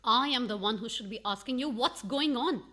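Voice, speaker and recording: Casting: woman to play an imperious farce wife for radio, high-pitched, suspicious, haughty, with mocking wit. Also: moderate pace, pushed and bright. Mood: suspicious, demanding. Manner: haughty, sarcastic. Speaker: actor.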